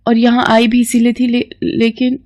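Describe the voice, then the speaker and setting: A woman voicing an earnest, polite young aspiring model in a moral story, speaking haltingly and mixing English into Hindi. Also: fairly high pitch, monotone, darkish tone, pitched above the performer's own voice, close to an everyday voice. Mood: frightened, pleading. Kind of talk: dramatised moral-story acting.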